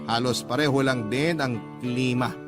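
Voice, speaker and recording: A man's voice: medium pitch, steady tone, normal speed, close to an everyday voice. An actor playing an earnest young Filipino man narrating his own story in a scripted radio drama style, with a Filipino accent. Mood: earnest, reflective. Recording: music bed under narration.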